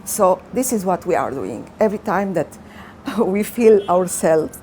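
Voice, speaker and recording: Woman, community center worker, slow and heartfelt, faint ambient hiss. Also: fairly low pitch, very animated, mellow. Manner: slow, heartfelt, searching for words. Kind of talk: interview testimony.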